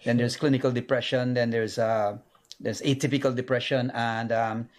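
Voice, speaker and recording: A man's voice: mid-range voice, little pitch movement, moderate pace. A man hosting a live call-in medical show in a studio, talking casually with many fillers and restarts. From Guyana.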